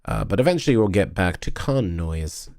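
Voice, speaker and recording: A man's voice: fairly low pitch, lively intonation, moderate pace, creaky, darkish tone, no room sound, studio quality. A tired, sniffly man chatting casually on a stream, rambling and correcting himself as he goes. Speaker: vlogger streaming a convention video review. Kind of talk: casual live-stream chat while editing.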